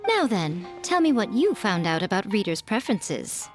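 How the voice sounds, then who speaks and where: A woman's voice: medium pitch, sing-song, moderate pace, faint music behind, natural voice. Woman as a sly, self-assured fox-spirit lady. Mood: instructive. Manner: playful, teasing, self-assured. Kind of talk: game voice acting.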